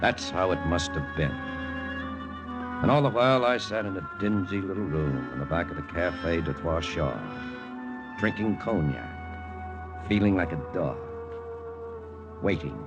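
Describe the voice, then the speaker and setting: An actor with an American accent playing a world-weary intelligence lieutenant in a 1940s radio drama, grave and measured in a confessional way. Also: male voice, deep voice, lively intonation. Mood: grave, regretful. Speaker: actor narrating and playing the lead. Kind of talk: 1940s radio drama acting, first-person narration. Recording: old radio recording with loud music.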